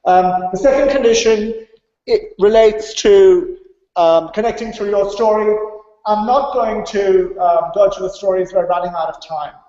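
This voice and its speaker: A man's voice: high voice, a little slow, dark tone. A man from India presenting at a conference, didactic, stressing key points and checking that listeners follow. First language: English. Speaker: conference speaker. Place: large reverberant conference room. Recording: very echoey hall, dull muffled sound.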